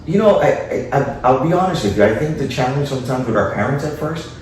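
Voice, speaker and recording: A man's voice: medium pitch, animated, fast, darkish tone. A man from Miami answering interview questions informally as a guest, dropping in Spanish phrases. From Miami, Florida. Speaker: interview guest, city official and former teacher. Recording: echoey room, distant mic, faint noise.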